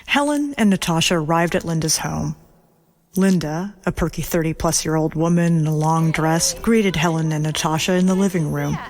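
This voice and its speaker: A woman narrating a scripted audio drama in a measured, matter-of-fact way. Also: low voice, darkish tone.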